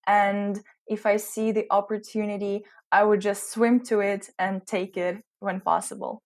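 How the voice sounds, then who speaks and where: A woman's voice: medium pitch, level tone, measured pace, darkish tone. Young woman, British-American mixed accent. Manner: hesitant, searching for words. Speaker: interview guest, recent graduate. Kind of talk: reflective interview answers.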